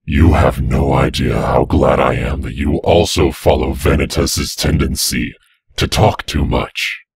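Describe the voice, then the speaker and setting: A man voicing a menacing demonic spirit avenger in an audio drama, slow and sardonic with a gravelly husky voice. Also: very deep voice, fairly even intonation, no room sound, studio quality, heavily acted. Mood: grimly sardonic. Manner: slow, drawn-out taunting. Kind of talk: fan audio drama acting.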